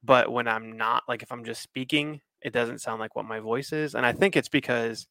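A man's voice: medium pitch, steady tone, moderate pace, vocal fry, sharp tone, dry recording. A man co-hosting a podcast, easygoing and agreeable in casual chat, laughing often. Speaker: podcast co-host. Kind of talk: casual chat over beers.

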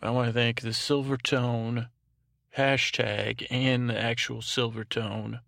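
A man's voice: mid-range voice, little pitch movement, slow, gravelly, fairly bright tone, dry recording, clean studio sound. A man hosting a bedtime podcast, talking in a droning, meandering monologue with hesitant false starts. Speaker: podcast host.

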